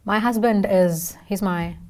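Indian accented woman, native tamil speaker, chatty n emphatic, answering interview questions, medium pitch. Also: unhurried, darkish tone. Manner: chatty, emphatic, often checks in with "right?". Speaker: interview guest.

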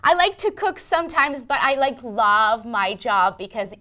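A woman from Ukraine telling her story as an interview guest, in a lively casual manner with frequent ums and small laughs. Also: a little high, measured pace. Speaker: interview guest, engineering manager.

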